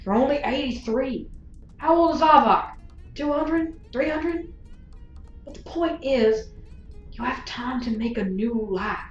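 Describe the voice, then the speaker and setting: A woman playing a sharp-tongued, sardonic gunslinger in a science fiction audio drama, needling and wry. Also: high voice, expressive, unhurried, long pauses, roomy sound, highs cut off, faint music behind. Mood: needling, challenging. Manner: needling, wry, rhetorical questioning.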